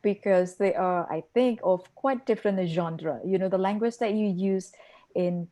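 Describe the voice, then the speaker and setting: A woman's voice: mid-range voice, a little slow, warm tone. A woman on a discussion panel answering a question carefully, with hesitations. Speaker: panel speaker.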